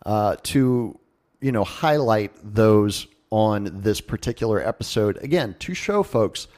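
A man's voice: lowish voice, darkish tone. A man hosting a radio show in a casual, conversational and jokey way, talking as if straight to his listeners.

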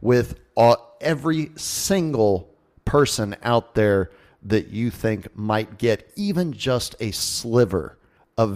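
A man's voice: fairly low pitch, a little mellow. A man hosting a podcast in a casual, jokey radio-show style with informal asides. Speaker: podcast host.

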